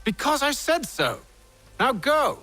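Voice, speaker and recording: A man's voice: mid-range voice, very animated, normal speed, fairly bright tone. A man playing a condescending, ruthless, urbane Templar master in a video game, sardonic and imperious. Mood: commanding, irritated. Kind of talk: dramatic game dialogue.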